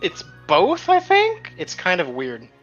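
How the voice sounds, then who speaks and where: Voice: medium pitch, huge pitch swings, slow, a bit bright, dull audio, faint music behind. A tabletop game player explaining rules in casual chat.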